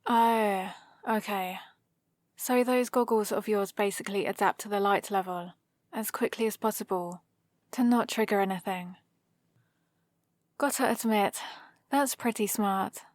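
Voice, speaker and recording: A woman's voice: medium pitch, no echo, lightly acted. A woman with a British accent playing a sardonic supervillain who grudgingly cares for an injured rival, in a dry, teasing ASMR roleplay. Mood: grudgingly caring. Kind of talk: ASMR roleplay, close and unhurried.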